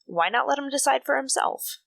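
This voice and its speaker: A woman's voice: high-pitched, lively intonation, brisk, gravelly, a bit bright, no echo, exaggerated voice. A woman playing a blunt, resentful young sister in a radio soap drama.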